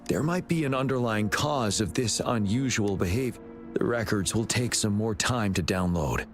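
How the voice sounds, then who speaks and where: A man voicing a composed, commanding leader with a fairly low voice. He speaks in a measured, steady way. Game music plays behind him. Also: lightly acted. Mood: calm. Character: calm, commanding male general.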